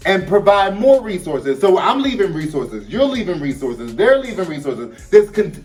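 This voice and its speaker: A man's voice: high voice, moderate pace. A man from Baltimore with a Baltimore accent, co-hosting a podcast in casual, chatty talk that keeps correcting itself. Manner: animated, chatty, self-correcting. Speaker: podcast co-host. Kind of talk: casual chat. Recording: echoey room, distant mic, faint music.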